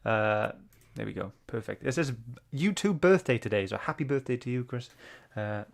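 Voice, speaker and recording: Guy hosting a casual book club stream, chatty and informal, ordinary mid-pitched voice. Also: animated, normal speed, vocal fry. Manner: informal, chatty, greets chat viewers by name. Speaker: host.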